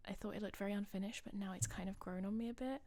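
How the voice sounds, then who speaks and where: A young woman with a slightly husky voice, rambling casually over a game build video. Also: medium pitch, steady tone, racing, mellow, no echo. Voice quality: slightly husky, losing her voice. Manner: rambling, chatty, self-deprecating. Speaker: gaming channel host.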